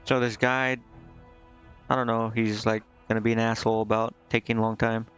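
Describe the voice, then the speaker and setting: A man streaming a video game, casually thinking out loud and reading menu text to himself, with some offhand swearing. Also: lowish voice, little pitch movement, fairly quick, clear, resonant tone, highs cut off, soft background music. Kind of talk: casual live gameplay commentary, muttering and reading menu text aloud.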